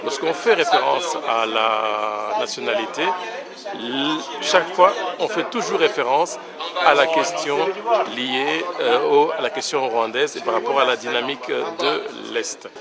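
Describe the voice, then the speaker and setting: A man's voice: mid-range voice, measured pace, vocal fry, over a noisy crowd. A man on a panel speaking off the cuff, hesitant at first with restarts before pressing his point. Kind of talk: spontaneous discussion.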